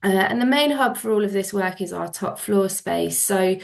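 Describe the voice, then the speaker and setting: A woman's voice: mid-range voice, moderate pace, vocal fry. A woman with a head cold, sounding a little nasal, giving a presentation at a panel with many ums and kind ofs. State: congested with a cold, a bit nasal. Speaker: museum environmental manager. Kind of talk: presentation talk.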